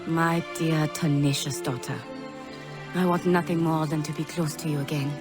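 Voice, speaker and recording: Sorrowful middle-aged mother, played by an actress: low, tender, formal, game drama, music behind. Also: steady tone, normal speed, close to an everyday voice. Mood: tender, resigned. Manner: solemn, formal phrasing. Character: tender, sorrowful long-absent mother, freed woman in exile. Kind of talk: dramatic video game cutscene acting. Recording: background music.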